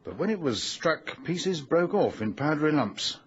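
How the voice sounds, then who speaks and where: An actor playing a genial, learned old English gentleman explorer in a radio drama, speaking formally and fatherly. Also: fairly high pitch, big pitch swings, normal speed, breathy edge, heavily acted. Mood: scholarly, expansive. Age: older. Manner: formal, genteel, expository. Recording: muffled lo-fi radio recording with hiss.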